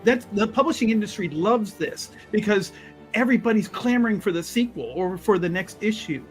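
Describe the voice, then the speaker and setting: A man playing an eager, conspiratorial mage who flatters like a publisher, wordy and effusive, repeating his agreement. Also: sits high, brisk, faint music behind, pitched above the performer's own voice. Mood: eager, flattering.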